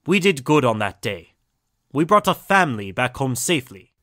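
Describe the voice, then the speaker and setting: A man's voice: mid-range voice, big pitch swings, moderate pace, a bit bright, no room sound, studio quality. A man playing an earnest doctor recounting a story in an audio drama. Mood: earnest storytelling. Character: earnest male doctor and time traveller, recounting.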